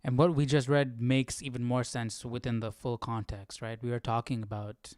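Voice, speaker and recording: A man hosting a live Bible study, chatting casually and thinking out loud. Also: mid-range voice, level tone, moderate pace, faint music behind. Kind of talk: casual livestream chat with reading and commentary.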